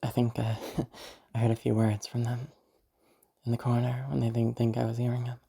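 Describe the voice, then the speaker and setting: A woman playing a detached, eerily calm sociopath who recounts the past in a halting, musing monologue with a husky, creaky voice. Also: extremely low pitch, full character voice. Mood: detached reminiscing. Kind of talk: first-person audio roleplay monologue.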